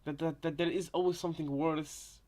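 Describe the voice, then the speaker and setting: A man casually commenting on game voice lines as a co-host, rambling and circling back over his words in a halting way. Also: on the high side, fairly even intonation, a little mellow. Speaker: reaction video co-host.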